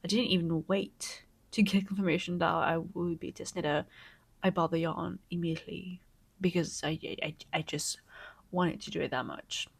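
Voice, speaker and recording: A young woman knitting vlogger, congested from a cold, chatting excitedly to camera and sometimes slipping into a soft whisper. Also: on the low side, moderate pace, creaky, husky. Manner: gushing and excitable, often dropping to a soft whisper. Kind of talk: casual vlog chat to camera.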